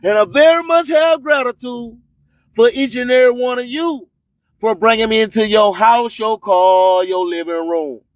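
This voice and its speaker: A man's voice: high voice, no room sound. A man hosting a radio show, delivering promotional patter and preachy slogans, repeating phrases for emphasis. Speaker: radio host.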